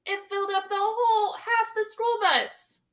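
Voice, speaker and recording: A young woman teacher reads a picture book aloud for children. Her voice sits high and sounds bright and clear. She reads with lively expression and stops to ask the listeners questions. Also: measured pace.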